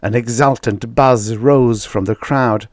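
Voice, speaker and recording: A man reading vintage science fiction aloud as an audiobook narrator, in a measured, dramatic storytelling manner with emphatic stresses. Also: medium pitch, fairly even intonation, dry recording, studio quality.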